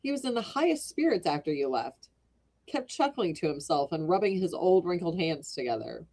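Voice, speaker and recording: A jovial gentleman, voiced by a woman reader who finds his friend's blunder hilarious. He speaks in a lowish, easy storybook tone, lightly mocking. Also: very animated, normal speed. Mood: teasing. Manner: teasing, amused. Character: amused young gentleman, teasing and jovial.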